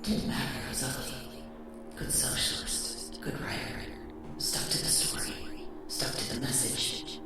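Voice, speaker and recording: A woman playing a bitter, betrayed socialist writer in an audio drama, speaking in hushed, breathy, clipped incantatory phrases. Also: extremely low pitch, animated, measured pace. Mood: bitter, wry. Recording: heavy echo, long reverb, loud music behind. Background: loud music.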